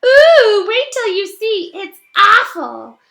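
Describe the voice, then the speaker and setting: High, gloating ghost girl. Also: very animated, slow pace, vocal fry, a bit bright, exaggerated voice. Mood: gleeful. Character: gleeful gloating ghost girl, spiteful and gossipy.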